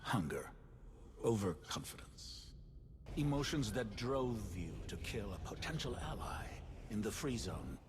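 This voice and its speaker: An actor playing a cryptic male seer who speaks in a measured, partly whispered way. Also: fairly low pitch, big pitch swings. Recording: game audio with ambient hiss.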